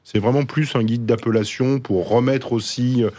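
A man from the Ardèche, a chef, talking as a radio guest in a relaxed, hesitant way. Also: medium pitch, normal speed. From Ardèche, France. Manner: relaxed, hesitant, restarts phrases. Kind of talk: casual interview. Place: radio studio. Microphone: studio mic.

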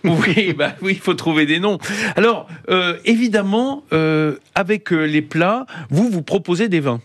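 Chatty radio host, higher voice. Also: male voice, unhurried. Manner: lively, chatty, many fillers, laughs often. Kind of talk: casual radio chat. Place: radio studio. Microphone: studio mic.

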